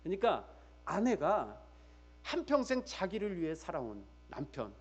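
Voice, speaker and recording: A man's voice: high voice, wildly swooping pitch, measured pace, husky, highs cut off. A man preaching a church sermon as pastor, emphatically repeating key phrases and putting rhetorical questions straight to his listeners.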